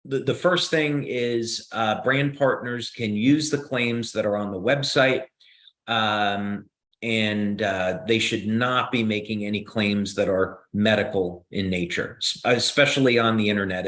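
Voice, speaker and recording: A man with a New Jersey accent explaining science on a video call, measured and steady, with frequent "uh" fillers. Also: lowish voice.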